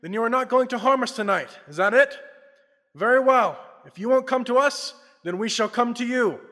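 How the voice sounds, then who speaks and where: A man's voice: extremely high pitch, animated, normal speed, bright, forceful tone, heavily acted. A man playing a bold, suave crime-fighting investigator in an old-time radio drama, speaking with theatrical confidence. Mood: bold, urgent. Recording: long reverb with faint music.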